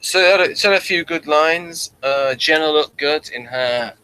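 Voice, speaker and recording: A man co-hosting a casual, unscripted review chat, repeating words and trailing off mid-thought in a halting way. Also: on the high side, bright, forceful tone, clear, resonant tone, low-quality recording. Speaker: podcast co-host reviewing a TV series.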